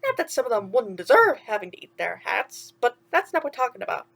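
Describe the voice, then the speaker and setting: A woman's voice: on the high side, very animated, fast, vocal fry, fairly bright tone, exaggerated voice. A woman voicing a brash little male mouse from a children's storybook, bossy but encouraging, with slangy, punchy delivery. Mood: rousing, bossy. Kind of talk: children's storybook character reading.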